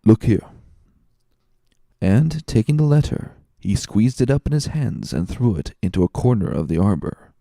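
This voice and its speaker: Low, mellow, expressive male narrator. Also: moderate pace, creaky, few pauses, no echo, clean studio sound. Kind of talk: dramatic audiobook narration.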